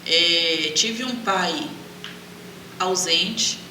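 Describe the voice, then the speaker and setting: A woman's voice: fairly low pitch, drawn-out words, bright, forceful tone. A middle-aged woman from Brazil with a Bahian accent, telling her life story as an interview guest. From Salvador, Bahia, Brazil. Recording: echoey, distant mic, clear background noise.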